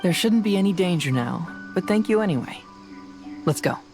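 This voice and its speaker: An actor playing a composed young detective in a video game, explaining things in a measured, articulate, confident way. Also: male voice, high voice, lively intonation, a little mellow, soft background music. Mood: thoughtful, decisive.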